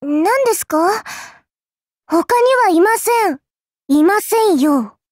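A woman's voice: extremely high pitch, lively intonation, takes it slowly, no room sound, clean studio sound, exaggerated voice. A woman voicing a childlike, fairy-like game girl, cutesy and polite, with a sweetly teasing, faintly condescending manner. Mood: irritated, threatening. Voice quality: cutesy, girlish. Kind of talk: Japanese mobile game character voice lines.

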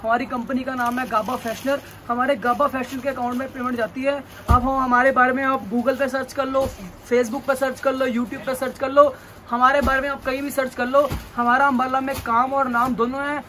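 A young man, the owner of a clothing shop, making a sales pitch and insistently repeating reassurances to the camera inside his large store. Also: little pitch movement, normal speed, sharp tone, slight background noise.

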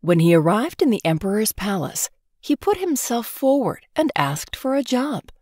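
A woman narrating a children's storybook in an expressive, scripted read-aloud style. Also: a little high, moderate pace, dry recording, clean studio sound.

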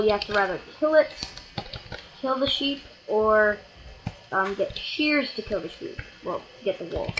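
A let's play gamer giving rambling, self-correcting commentary on a block-building game, with sudden excited outbursts, recorded at a home computer. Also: mid-range voice, slight background noise, rough recording. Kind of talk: casual gameplay commentary.

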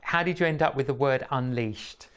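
Deliberate male interview host. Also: mid-range voice, smooth. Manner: deliberate, agreeing, repeats phrases for emphasis. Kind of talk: conversational interviewing. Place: small indoor studio room.

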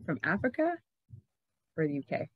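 A woman in her forties hosting an interview over a headset, chatty and quick with short acknowledgements. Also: deep voice, sing-song, husky, no room sound, narrow, tinny audio. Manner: chatty, laughs often, many short acknowledgements. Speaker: podcast host, interviewer. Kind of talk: casual interview chat. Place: indoor room, video call. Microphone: headset on video call.

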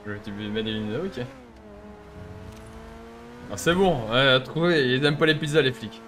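A man's voice: medium pitch, normal speed. A young man giving casual, cheeky gaming commentary and slangy role-play banter. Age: young adult. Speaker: streamer playing an online role-play game. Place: indoor streaming setup. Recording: faint car and game noise behind.

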